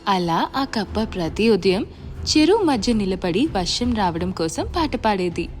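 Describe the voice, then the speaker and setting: Lively woman storyteller over music. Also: medium pitch, a little slow. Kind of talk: scripted children's story narration.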